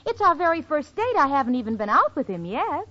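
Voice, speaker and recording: High-pitched, wry sitcom roommate. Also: female voice, big pitch swings, fairly quick, sharp tone, heavily acted. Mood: exasperated, wry. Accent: American. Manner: wry, sarcastic, emphatic. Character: quick-witted, exasperated young single woman, sitcom roommate. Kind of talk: 1940s-50s radio comedy acting. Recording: dull old-time radio recording.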